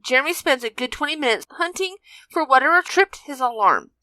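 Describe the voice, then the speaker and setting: A woman's voice: high-pitched, animated, a little fast, sharp tone, no room sound. A woman narrating a fan fiction story as a scripted reading.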